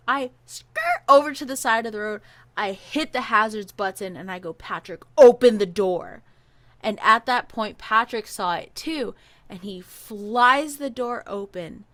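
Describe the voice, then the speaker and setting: A young woman from Hawaii co-hosting a podcast, telling a story in a lively, laughing way. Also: medium pitch, no echo. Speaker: podcast co-host. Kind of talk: casual storytelling.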